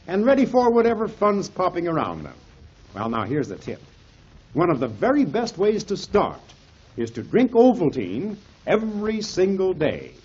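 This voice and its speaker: A man's voice: on the high side, darkish tone, slightly rough. A man announcing a 1930s children's radio serial, chatty and persuasive as he talks to young listeners. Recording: dull, muffled 1930s radio recording.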